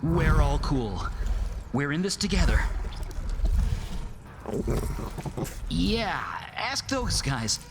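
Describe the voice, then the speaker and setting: Flippant young mech pilot, lively pitch swings. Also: male voice, slow, heavy background noise, heavily acted. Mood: nervous joking. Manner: flippant, stammering, eager to be liked. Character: young wisecracking, nervous male mech-pilot soldier from Earth. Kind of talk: animated motion-comic acting and narration. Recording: loud music behind the voice.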